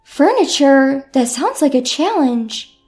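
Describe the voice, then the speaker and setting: A woman's voice: sits high, takes it slowly, soft background music, full character voice. A woman voicing a friendly, helpful female shop manager in a storytime narration, eager and obliging. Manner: excited, obliging. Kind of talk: storytime audio drama dialogue.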